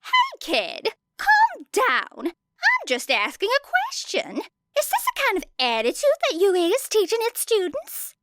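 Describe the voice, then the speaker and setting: A woman voicing a loud, pushy, indignant news reporter in an audiobook. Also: very high-pitched, wildly swooping pitch, a little slow, no echo, voice pushed up, exaggerated voice. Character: pushy, nagging news reporter badgering a student. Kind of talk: audiobook character dialogue.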